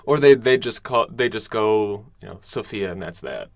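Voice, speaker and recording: A man hosting a podcast, asking loose, relaxed interview questions with fillers. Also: fairly low pitch, moderate pace, dry recording, studio quality.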